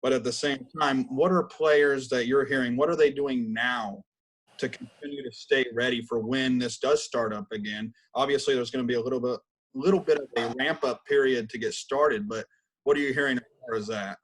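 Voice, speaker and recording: A man with an average-pitched voice co-hosts a sports podcast. He speaks conversationally, framing questions for an interview guest. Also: fairly even intonation, normal speed, poor audio.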